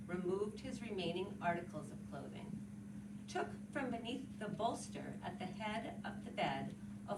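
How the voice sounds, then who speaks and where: Woman reading expressively, distant and noisy. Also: mid-range voice. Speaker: literary reader. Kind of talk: dramatic literary reading. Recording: roomy, distant mic, loud background noise.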